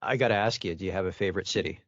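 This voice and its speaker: A man's voice: low-pitched, rapid-fire, fairly bright tone, dull audio, slight background noise. A man hosting an interview podcast, delivering introductions and questions to a guest.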